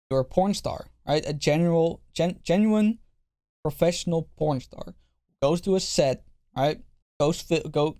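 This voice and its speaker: A male streamer reacting to a clip with a dark, mellow tone and blunt, swear-heavy talk. He repeats himself, and his voice sounds worn out. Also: fairly high pitch. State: losing his voice. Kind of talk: casual reaction commentary.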